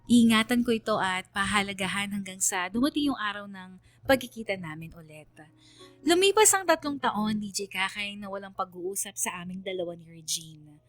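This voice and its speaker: A woman's voice: mid-range voice, moderate pace, fairly bright tone, soft background music. A woman with a Filipino accent narrating a scripted radio story in an earnest, sentimental way.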